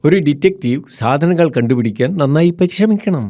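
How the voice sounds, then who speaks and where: A woman's voice: deep voice, lively intonation, fairly quick, warm tone. A woman voicing a patient, encouraging parent bee who explains things in a children's cartoon.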